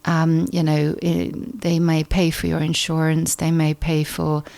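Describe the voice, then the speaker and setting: Low, gravelly-voiced woman guest. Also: monotone, measured pace, darkish tone. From Sweden. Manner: thoughtful, with frequent restarts and repeated words. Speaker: guest chiropractor. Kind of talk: conversational interview.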